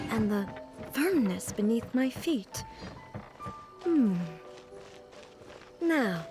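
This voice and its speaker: A woman's voice: on the high side, full character voice. A woman voicing a regal female god-ruler in a video game, composed, formal and reserved in how she talks. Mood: curious. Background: faint game music.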